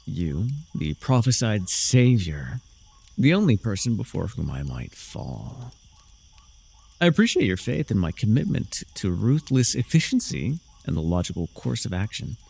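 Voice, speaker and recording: A man playing a smug, sardonic young dark sorcerer in a fantasy ASMR roleplay, theatrical and teasing, with dry, drawling mockery. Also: lowish voice, very animated, measured pace, gravelly, husky, full character voice. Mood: cool, smug.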